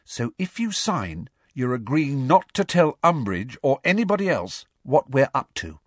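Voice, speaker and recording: A man voicing an earnest, impatient teenage schoolgirl with a brisk, tart, insistent manner in an audiobook. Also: medium pitch, big pitch swings, no echo, studio quality, voice pushed up. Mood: nervous, resolute.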